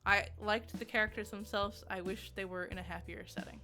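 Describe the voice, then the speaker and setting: Bright sounding girl doing a chatty game review, giggly and kinda self-deprecating, bit of music behind her. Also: medium pitch, fairly even intonation, normal speed. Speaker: video game reviewer and host. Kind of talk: casual video essay review chat.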